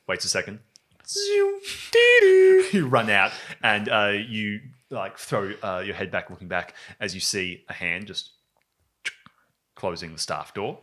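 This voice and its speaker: Guy game mastering some improv comedy campaign, low but bright voice, kinda halting and amused. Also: fairly even intonation.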